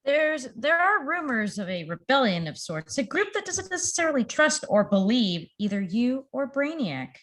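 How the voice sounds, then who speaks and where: A woman playing a sharp, skeptical reporter in a script table read, pointed and challenging. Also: high voice, sing-song, moderate pace, few pauses, full character voice.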